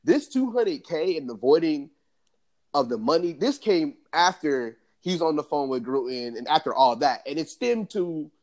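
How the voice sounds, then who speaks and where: A man co-hosting a sports podcast, talking excitedly in casual slang. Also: on the high side, moderate pace. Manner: excited, animated, lots of slang. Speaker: podcast co-host.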